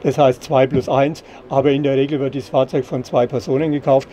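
A middle-aged man explaining product features in an interview, slightly halting and repeating words. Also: medium pitch, little pitch movement, warm tone. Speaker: caravan company representative. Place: caravan at an indoor trade fair. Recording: clear background noise of busy trade fair.

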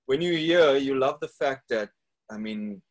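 A man hosting a podcast over a video call from a room at home, talking in a relaxed, friendly, slangy way. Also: mid-range voice, a little slow, rough recording. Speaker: podcast host. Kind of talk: casual video call interview. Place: home room with guitars, indoors.

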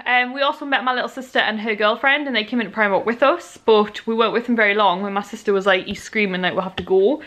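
Tired croaky woman vlogging. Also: medium pitch, fairly bright tone. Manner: rambling, chatty storytelling. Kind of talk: casual chat to camera. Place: small bedroom at home. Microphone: handheld camera at arm's length.

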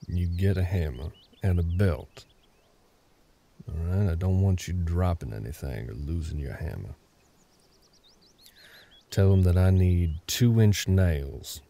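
A very deep, creaky-voiced older cowboy acted by a man in an intimate ASMR roleplay. His tone is dark and mellow, with a terse drawl. He comes across as gruff and guarded, with care underneath. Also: lively intonation, takes it slowly, long pauses, full character voice. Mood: instructing, steady. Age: middle-aged. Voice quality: low, gravelly. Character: gruff older cowboy ranch hand, guarded but caring.

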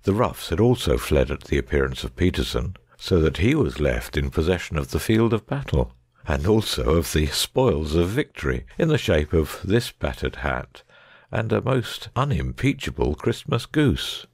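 Man acting analytical gentleman detective, creaky low voice, very dry close sound, precise, wry, audiobook drama. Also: very animated, normal speed, no echo, heavily acted. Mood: explaining.

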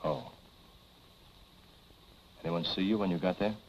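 An actor playing a suave, quietly menacing man in his thirties, speaking smoothly and formally in 1940s film style. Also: sits low, measured pace, slight background noise. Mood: insinuating. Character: suave, cool male family friend, quietly insinuating and menacing. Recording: dull 1940s film soundtrack with music.

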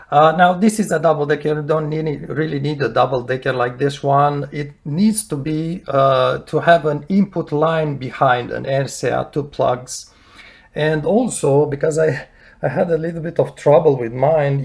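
A man giving a casual, unscripted how-to demonstration in a halting, hesitant way, searching for words as he goes. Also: on the high side, a little mellow. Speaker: hobbyist demonstrator. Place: indoors at home beside hi-fi equipment.

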